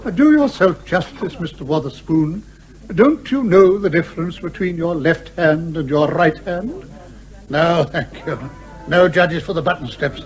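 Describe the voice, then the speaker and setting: An actor playing an older British major, pompous, hearty and blustering, in an old-fashioned radio comedy style. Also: male voice, a little high, animated, unhurried, soft background music, rough recording. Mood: indignant scorn. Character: blustering, genial old retired major, father who despises lawyers.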